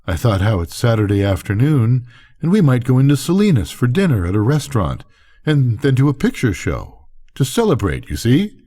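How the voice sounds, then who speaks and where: A man's voice: medium pitch, very animated, moderate pace, warm tone, pitched above the performer's own voice. An older man voicing an easygoing, teasing rancher husband in a storybook, folksy and conversational. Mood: hopeful. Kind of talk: bedtime storybook character voice.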